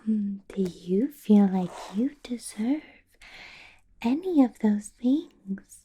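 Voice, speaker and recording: Woman playing a slow, whispering vampire boss. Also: medium pitch, animated, heavily acted. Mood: coolly probing. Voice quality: soft, breathy whisper. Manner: slow, deliberate, drawn-out pauses, musing hums. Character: female vampire boss, possessive, coolly menacing and condescending. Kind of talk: ASMR roleplay, whispered personal attention.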